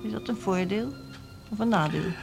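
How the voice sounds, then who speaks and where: An actress in a radio drama playing a proud, disapproving middle-aged music teacher with an articulate, earnest and cutting manner. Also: female voice, medium pitch, normal speed, gravelly, lightly acted. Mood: scornful.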